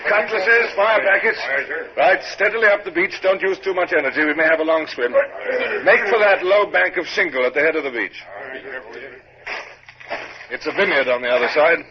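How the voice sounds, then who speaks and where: An actor playing a resolute British naval captain in a 1950s radio drama, crisp and authoritative as he narrates and gives orders. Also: high-pitched. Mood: urgent command. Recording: noisy muffled old radio recording.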